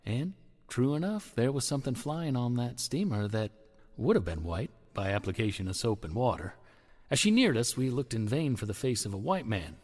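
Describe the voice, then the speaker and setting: A man's voice: mid-range voice, expressive, normal speed, a little mellow, faint music behind, close to an everyday voice. A man voicing a Civil War sailor who gives a formal, old-fashioned eyewitness account as a dramatic reading. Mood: recounting, wondering. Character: Civil War sailor recounting what he witnessed. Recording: long reverb on the voice.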